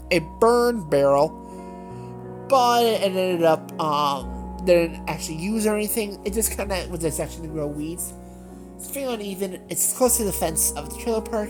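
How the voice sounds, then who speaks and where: A congested woman with a nasal tone and a notably low voice chats casually as she hosts her gaming video. She rambles along, doubling back and correcting herself as she goes. Soft music plays faintly underneath. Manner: meandering, with many fillers and self-corrections. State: congested from allergies. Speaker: gaming channel host. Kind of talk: rambling casual chat over gameplay.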